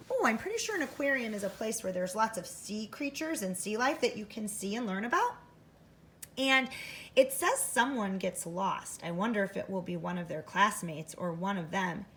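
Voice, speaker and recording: A woman's voice: a little high, fairly bright tone, breathy edge. A woman teaching first grade, giving an interactive read-aloud for children, thinking aloud expressively and addressing young listeners directly.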